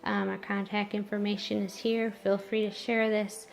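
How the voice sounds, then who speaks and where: A woman's voice: medium pitch, little pitch movement, normal speed, creaky, rough recording. A middle-aged American woman from Detroit hosting an informal interview and making an appeal to listeners. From Detroit, United States. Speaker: host, interviewer.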